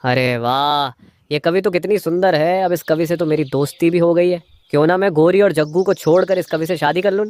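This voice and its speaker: A man's voice: fairly high pitch, fairly quick, a little mellow, natural voice. A man voicing a stingy, two-faced crow husband in a moral-story cartoon, a schemer who feigns sweetness to his wife. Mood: smitten, calculating.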